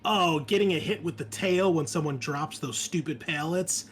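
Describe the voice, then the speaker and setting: A man's voice: on the high side, normal speed. A man hosting and running a tabletop game in a casual, enthusiastic, sweary, conversational way. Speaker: game master and host.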